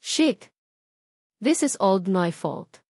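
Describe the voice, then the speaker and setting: A woman voicing a hot-tempered, commanding billionaire husband with a forceful, demanding manner in a dramatised novel reading. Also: mid-range voice, very animated, extremely slow, husky, no room sound, studio quality, exaggerated voice. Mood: anxious.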